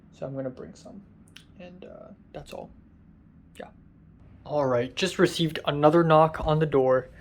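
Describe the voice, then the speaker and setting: A tired young man with a raspy voice, rambling through a casual vlog from a hotel room, halting with many ums. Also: a little high, a little hiss or hum. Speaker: vlogger.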